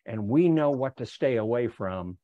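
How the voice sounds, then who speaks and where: An older man presenting in an online club session, speaking emphatically and deliberately and repeating phrases for effect. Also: medium pitch, lively intonation, mellow, no room sound, highs cut off. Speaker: workshop presenter. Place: indoor room on a video call. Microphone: clip-on lapel mic.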